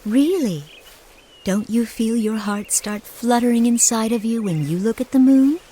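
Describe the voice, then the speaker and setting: An actress playing a gentle, wise mother in a game cutscene, patiently and tenderly explaining things to her young child. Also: a little high, a little slow, breathy edge. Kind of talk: scripted game cutscene dialogue.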